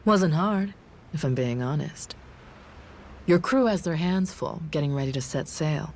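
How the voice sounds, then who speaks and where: A woman's voice: deep voice, lively intonation, a little slow, slight background noise. An actress playing a cool, menacing envoy of a pirate queen in a video game, poised and sardonic. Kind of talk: video game dialogue acting. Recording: loud music bed behind voice.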